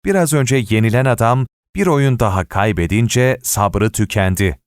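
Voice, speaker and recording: A man reading a short story aloud as an audiobook narrator, in an expressive storytelling manner. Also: medium pitch, moderate pace, smooth, dry recording, studio quality. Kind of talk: scripted short story narration with acted dialogue.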